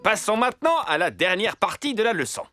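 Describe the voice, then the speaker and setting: An actor playing an earnest, officious panda police officer in a children's cartoon, formal and instructive. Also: male voice, high-pitched, sing-song, fairly quick, pushed and bright, full character voice. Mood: earnest, official. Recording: music behind the voice.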